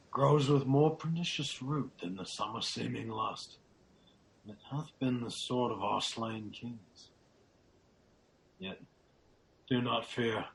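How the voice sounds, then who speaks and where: Gravelly, grave nobleman, played by a man, noisy recording. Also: mid-range voice, fairly bright tone. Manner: solemn, declamatory. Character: loyal Scottish nobleman, grave, despairing patriot. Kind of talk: Shakespearean radio drama acting. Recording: clearly audible background noise.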